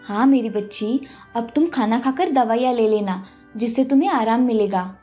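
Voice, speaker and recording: A caring mother from a village cartoon tale, acted by a woman. Her voice is high and very mellow, with a tender, reassuring manner. Faint music runs underneath. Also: moderate pace, close to an everyday voice. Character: caring, gentle village mother, a milk seller. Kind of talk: animated moral story dialogue. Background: faint background music.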